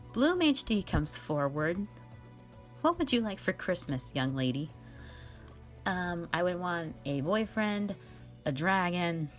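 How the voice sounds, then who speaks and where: Low-voiced woman, playful casual Q&A. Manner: playful, informal, rambling, self-deprecating. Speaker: ASMR and audio roleplay voice creator. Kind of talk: casual Q&A, reading and answering fan questions. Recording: faint music behind the voice. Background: faint background music.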